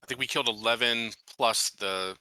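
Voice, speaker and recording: A man's voice: medium pitch, normal speed, sharp tone, clear, resonant tone, no room sound, low-quality recording. A man playing a tabletop roleplaying game, talking things through in a reasoned, questioning way. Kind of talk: casual discussion at a game table.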